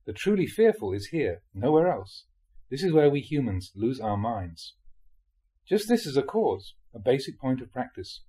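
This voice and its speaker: Man with expressive, slightly husky reading voice. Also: mid-range voice, moderate pace. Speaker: monk reading dharma talks aloud. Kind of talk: reading a spiritual talk aloud.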